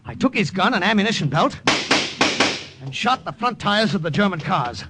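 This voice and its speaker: A man's voice: high voice, sing-song, moderate pace, heavy background noise. An actor playing a tough, resourceful secret agent narrating his mission in an old radio drama, terse and matter-of-fact. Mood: urgent, recounting. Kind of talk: dramatic first-person radio narration, 1940s-50s. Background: dramatic music.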